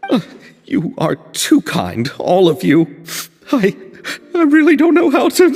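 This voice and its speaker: Nervous earnest young movie maker in a game, stammery, swoopy voice, reverby with music behind. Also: male voice, extremely high pitch, wildly swooping pitch, slow pace, gravelly, darkish tone, heavily acted. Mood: overwhelmed, grateful. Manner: hesitant, stammering, effusive. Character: timid, grateful, earnest young male filmmaker. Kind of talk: video game voice acting.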